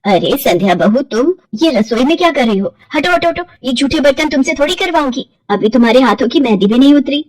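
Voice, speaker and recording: Woman voicing a sharp-tongued, fretful mother-in-law. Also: sits high, expressive, a little fast, few pauses, a little hiss or hum, rough recording. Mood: fussing. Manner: complaining, scolding.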